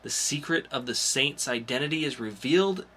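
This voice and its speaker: Bright-voiced man hosting a podcast. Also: medium pitch, animated, moderate pace. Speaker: podcast co-host. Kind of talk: casual comic book review chat. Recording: faint background noise.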